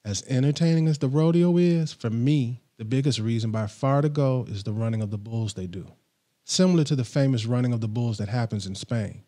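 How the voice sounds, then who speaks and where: A middle-aged man hosting a podcast from a small home studio, reading a countdown list in a steady, scripted way into a studio microphone. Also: medium pitch, fairly quick. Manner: steady, read-from-script delivery. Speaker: podcast host. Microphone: studio mic on boom arm, headphones on.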